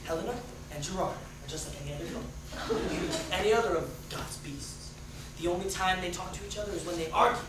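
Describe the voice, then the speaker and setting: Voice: mid-range voice, brisk, lightly acted. Cynical brooding crime writer guy acted in a play reading, sarcastic, super echoey and far off. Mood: brooding narration. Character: cynical, foul-mouthed male crime writer, brooding and scornful. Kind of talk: amateur dramatic reading of a play. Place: echoey room, far from the mic. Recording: very echoey, distant, noisy room recording.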